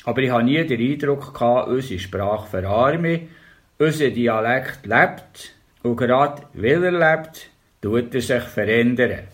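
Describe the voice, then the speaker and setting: A man's voice: mid-range voice, expressive, unhurried. Older man with a Bernese Oberland accent. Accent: Bernese German, Bernese Oberland. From Bernese Oberland, Switzerland. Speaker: storyteller. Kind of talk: reading memoirs and dialect tales.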